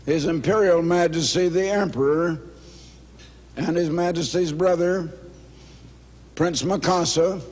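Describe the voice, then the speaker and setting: A man in his eighties, hoarse, giving a formal speech at a banquet in slow, emphatic phrases. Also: high-pitched. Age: 80s. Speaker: speaker at a banquet. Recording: reverberant banquet hall.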